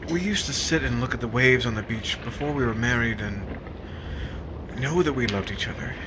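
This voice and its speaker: Troubled, brooding husband played by a male actor, mid-pitched and halting, vintage romantic radio drama, clear boat noise behind. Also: close to an everyday voice. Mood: wistful. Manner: halting, hesitant delivery. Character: troubled, brooding unhappy husband named Larry. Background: boat and water sound effects.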